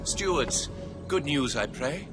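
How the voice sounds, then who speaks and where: A man playing a reverent, grandiose alien high prophet in a video game cutscene, lofty and theatrical. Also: expressive, slow pace, over loud music.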